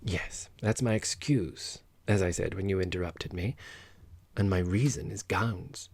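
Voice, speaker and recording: A man's voice: low-pitched, measured pace, husky, dry recording, exaggerated voice. A man voicing an exuberant, playful woman opera singer who talks in teasing exclamations, in an audiobook. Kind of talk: audiobook character voice.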